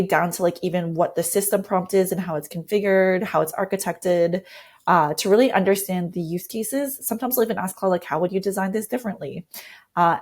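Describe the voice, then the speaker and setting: A woman's voice: fairly low pitch, darkish tone. Woman explaining her work conversationally. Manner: enthusiastic. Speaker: interview guest, design lead.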